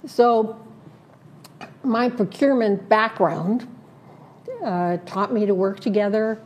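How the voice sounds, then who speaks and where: A woman serving as a community board director, laying out her plans in an interview, listing points slowly with many fillers and restarts. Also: mid-range voice, a little mellow. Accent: Southern Californian. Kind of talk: prepared statement in an interview.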